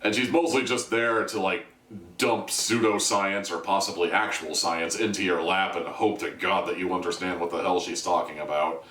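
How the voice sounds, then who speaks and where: A man with a low-pitched voice delivers a casual review as host. He is conversational and wry, poking fun at himself. The sound is roomy and echoing, as he sits some way from the microphone. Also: fairly even intonation, moderate pace, fairly bright tone. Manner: wry, self-deprecating, conversational. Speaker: reviewer, host of an audio drama review video series. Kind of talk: casual spoken video review. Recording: roomy echo, distant from the mic.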